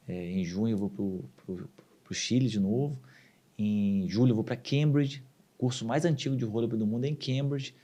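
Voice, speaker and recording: Fast-talking gravelly man. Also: lowish voice. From southern Brazil. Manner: animated storyteller, acts out quoted dialogue. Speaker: urologist guest. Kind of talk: casual podcast interview.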